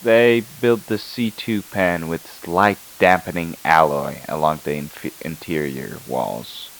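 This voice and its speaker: A weary male scientist on night watch , played by an actor, speaks in a low voice over faint tape hiss. He is measured and halting, clinical but uneasy, as in a found-footage horror log. His delivery is very slow. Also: creaky, fairly bright tone, full character voice, rough recording. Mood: hesitant, brooding. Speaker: night-shift researcher recording an audio log. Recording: hissy analog tape with static.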